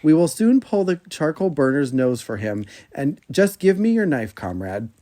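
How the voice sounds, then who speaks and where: A man's voice: fairly high pitch, sing-song, moderate pace, warm tone. Man reading as a bold, scarred old soldier. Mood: wily, bargaining. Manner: bold, plain-spoken, formal storybook speech. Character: fearless scarred older soldier, cocky and wily.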